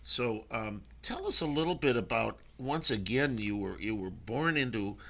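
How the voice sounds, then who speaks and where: A man hosting a talk radio show, speaking conversationally in a halting, earnest way. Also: medium pitch, very animated, creaky, fairly bright tone.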